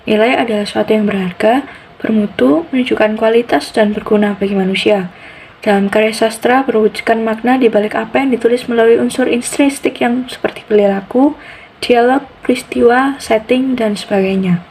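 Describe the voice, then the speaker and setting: A woman's voice: a little high, fairly even intonation, brisk, a little mellow, a little hiss or hum, rough recording. A teenage young woman with an Indonesian accent, reading scripted material on a student podcast. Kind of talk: scripted narration.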